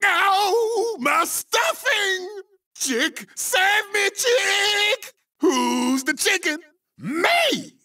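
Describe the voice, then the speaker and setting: A man voicing an excitable, boastful chicken sportscaster for a video game, hammy and shouting, with a gravelly voice. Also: extremely high pitch, very slow, distant mic, full character voice. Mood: panicked, hammy. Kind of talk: exaggerated video game character barks.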